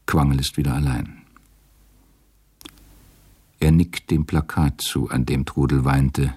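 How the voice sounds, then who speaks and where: A man narrating a literary radio drama in a measured, sombre way, with a gravelly, husky voice. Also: extremely low pitch, fairly even intonation, dark tone.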